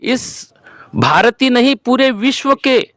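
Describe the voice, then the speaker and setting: A man with a high-pitched voice, caught in a dry, somewhat muffled recording. He gives earnest interview answers, deliberate and halting, often driving a point home with a rhetorical question. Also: animated, a bit bright, no room sound. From Madhya Pradesh, India. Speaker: protest participant being interviewed. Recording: dull lo-fi close recording.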